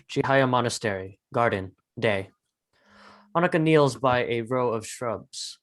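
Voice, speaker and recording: A man narrating a screenplay, reading out scene headings and stage directions as scripted narration. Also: mid-range voice.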